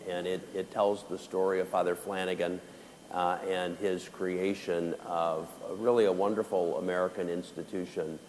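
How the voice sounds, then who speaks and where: A man moderates a panel in a very low voice. He speaks haltingly, filling pauses with "uh." A faint hiss sits under his voice. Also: flat delivery, a little mellow, poor audio. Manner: halting, with many "uh"s. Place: conference hall.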